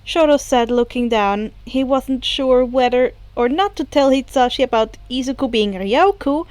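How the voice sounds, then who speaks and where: A woman narrating a piece of fan fiction in an expressive amateur storytelling style. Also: sits high, normal speed, dry recording. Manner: acts out characters' dialogue.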